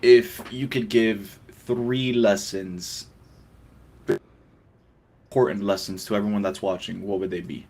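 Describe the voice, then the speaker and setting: A man's voice: lowish voice, steady tone, a little slow, long pauses, a little mellow. A man hosting a casual interview, asking questions and giving short, easygoing affirmations. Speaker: podcast host.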